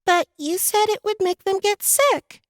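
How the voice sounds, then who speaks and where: Earnest little girl, very high-pitched. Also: unhurried, no room sound, clean studio sound. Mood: earnest, uneasy. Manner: childlike, earnest. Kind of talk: audiobook drama acting.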